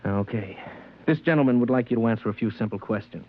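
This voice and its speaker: An actor playing a clipped, businesslike American government investigator in 1950s radio drama. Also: male voice, medium pitch, fast, close to an everyday voice. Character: terse, official male space bureau investigator. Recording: muffled, noisy old radio recording.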